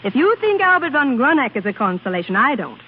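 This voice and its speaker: A scratchy, narrow-band vintage radio drama. a petulant opera diva, impulsive and hungry for love, her pitch swooping with theatrical feeling. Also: very animated, quick pace. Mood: scornful. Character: temperamental, bored opera prima donna pining for romance. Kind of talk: 1930s radio acting.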